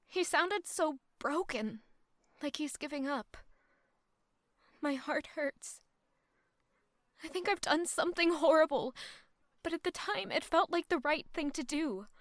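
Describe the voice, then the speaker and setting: A woman's voice: high-pitched, bright, forceful tone, dry recording, studio quality, heavily acted. A woman voicing a troubled young maid who answers curtly and defensively in an audio drama. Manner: curt, defensive replies.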